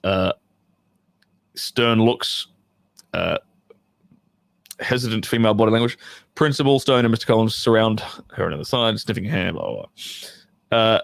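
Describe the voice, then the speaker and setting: A man's voice: fairly low pitch, expressive, moderate pace, gravelly, long pauses, dry recording. A man who performs in adult films hosting a casual livestream, talking to his chat in a rambling, profane, joking way.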